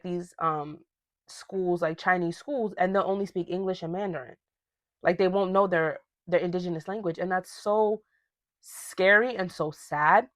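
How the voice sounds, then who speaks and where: A woman's voice: medium pitch, no room sound. A young woman from Ghana hosting her own podcast, talking casually and animatedly, with slangy asides. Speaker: podcast host. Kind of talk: casual solo podcast rant.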